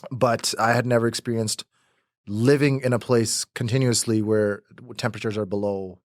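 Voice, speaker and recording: Guest: young man from Pakistan, native Urdu speaker, lowish voice, rambling casual talk, dry close sound. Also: fairly even intonation, normal speed, dry recording. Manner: rambling, many restarts and fillers. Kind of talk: casual conversational interview.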